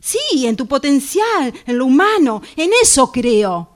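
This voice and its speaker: A woman's voice: sits high, sing-song, a little slow. A woman with an Argentine accent acting an affectionate, reassuring partner in a radio drama exercise. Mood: coaxing, tender. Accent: Argentine Spanish. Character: affectionate, reassuring woman in a ten-year relationship.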